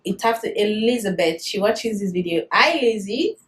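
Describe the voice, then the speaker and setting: A woman's voice: medium pitch, normal speed. Chatty Nigerian woman vlogger. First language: Yoruba. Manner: chatty, laughs often, animated storytelling. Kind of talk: casual chat to camera.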